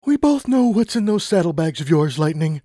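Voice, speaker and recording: A man's voice: on the high side, very animated, moderate pace, dark tone, dry recording, studio quality, pitched above the performer's own voice. A man voicing a firm, loving pony mother who is tearful.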